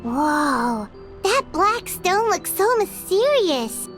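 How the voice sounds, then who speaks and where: A woman voicing a tiny, excitable, chatty fairy companion with a squeaky childlike voice in a video game. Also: very slow, soft background music, exaggerated voice. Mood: bubbly, curious. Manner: refers to self in third person, exclamatory.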